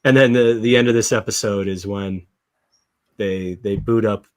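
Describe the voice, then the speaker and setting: A man's voice: lowish voice, fairly even intonation, moderate pace, no echo. Man hosting a casual chat, dry sound. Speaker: host of a fan discussion show. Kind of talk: casual panel chat about a TV show.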